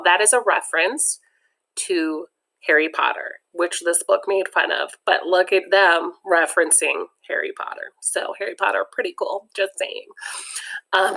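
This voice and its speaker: A woman reads a children's comic book aloud in a chatty way. She keeps pausing to offer her own asides between passages. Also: fairly low pitch, vocal fry, bright, forceful tone. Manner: chatty, adds personal comments between passages. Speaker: read-aloud narrator.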